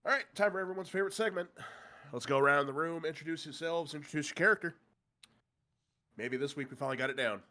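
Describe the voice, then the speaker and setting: A man's voice: fairly high pitch, fast talker, bright, forceful tone. A man with a stuffy, congested nose, recapping the last session as game master in a loose, joking way with many fillers. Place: room with friends at a table.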